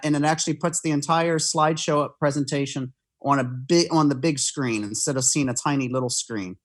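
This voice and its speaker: A man's voice: fairly high pitch, fairly bright tone. A man presenting on a video call from home, casually explaining things to a group. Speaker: guest presenter. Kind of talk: casual explaining before a slideshow.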